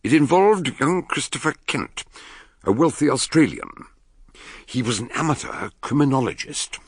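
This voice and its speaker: An older man with a British accent playing an eccentric, shrewd gentleman detective in a radio drama, speaking in deliberate, courtly phrases with a gravelly voice. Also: medium pitch, sing-song. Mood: narrating gravely. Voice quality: gravelly, husky.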